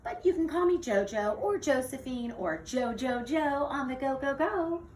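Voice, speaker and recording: A woman voicing an exuberant, playful young girl in a children's storybook, bouncy, sing-song and excitable. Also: high-pitched, moderate pace, voice pushed up. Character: exuberant, playful, whimsical young girl who loves dancing. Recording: distant mic with faint noise.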